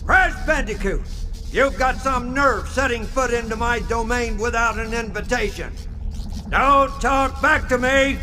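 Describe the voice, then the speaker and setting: Indignant water spirit, extremely high voice, shouted threats, bossy, cavernous reverb, game villain. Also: male voice, measured pace. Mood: indignant fury. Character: indignant, bossy male water elemental mask. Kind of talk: video game cutscene acting. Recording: long reverb under loud music.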